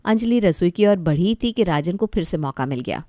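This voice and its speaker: A woman's voice: lowish voice, expressive, moderate pace, mellow, no echo, clean studio sound. A woman narrating a scripted family comedy story as a storyteller.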